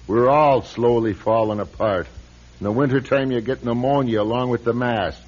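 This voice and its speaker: An actor playing a blunt, weary old priest in a radio drama, husky and sardonic, on a muffled recording. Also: male voice, medium pitch, moderate pace, a little mellow, lightly acted. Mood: exasperated. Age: older. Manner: gruff, sardonic, plain-spoken. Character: blunt, weary, grumbling old Irish-American parish priest.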